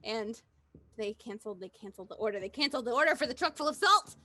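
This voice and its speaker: Woman player in a roleplay game, kinda high voice, casual, repeats herself for emphasis. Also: expressive, fast talker, sharp tone. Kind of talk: casual improvised roleplay chat.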